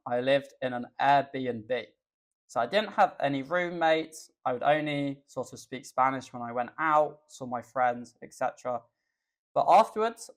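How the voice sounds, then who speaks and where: A young Englishman with an English accent, a guest chatting in a podcast interview, relaxed and thoughtful with the odd um. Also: medium pitch. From England. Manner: relaxed, thoughtful, with filler ums. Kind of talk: casual interview chat.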